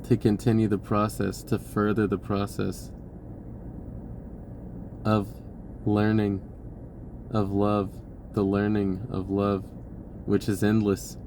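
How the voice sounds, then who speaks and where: A man giving an unhurried, reflective spiritual talk with many pauses, his voice lifting when he acts out an inner dialogue. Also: fairly low pitch, monotone, a little mellow, slight background noise. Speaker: spiritual video host.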